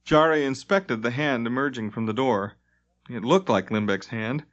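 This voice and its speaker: A man's voice: medium pitch, moderate pace, a bit bright. A man reading scripted fantasy narration dramatically, as an audiobook narrator. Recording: dull lo-fi tape transfer.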